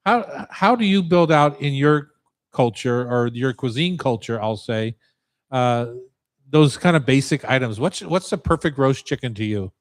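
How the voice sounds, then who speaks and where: A man's voice: mid-range voice, expressive, a little slow. A man hosting a radio talk show, asking chatty, curious questions of a guest. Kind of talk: radio interview.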